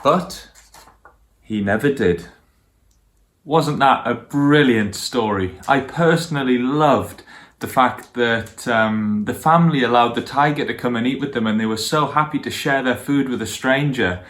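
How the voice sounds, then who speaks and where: Male children's storyteller, medium pitch, addressing young listeners directly. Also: a bit bright. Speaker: guest storyteller reading for children.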